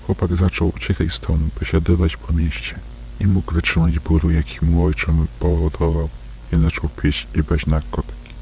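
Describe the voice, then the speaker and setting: A man with an extremely deep voice narrates an analog horror case file. His delivery is monotone and detached, like a report being read out. A faint hum sits behind the recording. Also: moderate pace, poor audio. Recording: faint hum and background noise.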